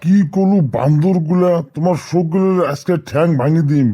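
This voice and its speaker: Man acting threatening village tough, dark mellow tone, slightly high for a man, northern Bengali dialect, cartoon comedy. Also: moderate pace, faint music behind, lightly acted. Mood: menacing. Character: threatening village man chasing off troublesome youngsters.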